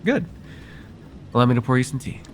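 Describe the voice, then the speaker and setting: A man playing a courteous nocturnal vampire therapist in an ASMR roleplay, speaking formally and gently to the listener in a soothing, slightly hesitant way. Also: mid-range voice, very animated, brisk, slight background noise, close to an everyday voice. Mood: soothing, attentive.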